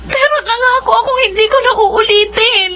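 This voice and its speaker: An actress playing a distraught, regretful young Filipina college student, crying through melodramatic radio drama lines. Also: female voice, very high voice, normal speed, bright, forceful tone. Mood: anguished. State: crying, sobbing.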